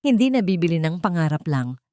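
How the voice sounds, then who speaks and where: A woman's voice: lowish voice, sing-song, fairly quick, dark tone, no echo, clean studio sound. A woman storyteller voicing a haughty, cold and condescending female operations manager in a drama story. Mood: cold, dismissive.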